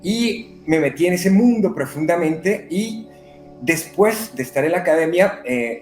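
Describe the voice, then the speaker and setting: A man from Colombia, a medium and psychotherapist, speaking unhurriedly with many pauses in an interview. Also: on the high side, darkish tone, roomy sound. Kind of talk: conversational interview. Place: living in Brazil.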